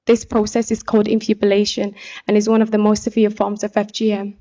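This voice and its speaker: A young woman from Somalia giving a personal testimony speech as an activist, speaking in an earnest, deliberate way. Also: medium pitch, completely flat delivery, dark tone, highs cut off. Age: young adult. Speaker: pageant contestant and activist speaker.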